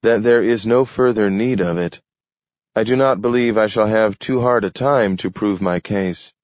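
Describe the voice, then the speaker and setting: A man voicing a solemn young man in a storybook, speaking with cool, measured formality. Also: on the low side, dry recording, highs cut off, voice pushed up, natural voice. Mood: firm.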